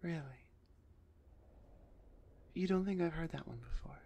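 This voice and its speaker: A woman voicing a big, gruff female minotaur executioner with grudging, teasing menace in an ASMR roleplay. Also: fairly low pitch, unhurried, mellow, full character voice.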